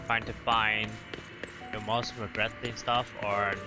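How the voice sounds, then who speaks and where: A man's voice: on the low side, little pitch movement, slow pace. A man giving casual, offhand reactions as he plays through a video game. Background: loud game music.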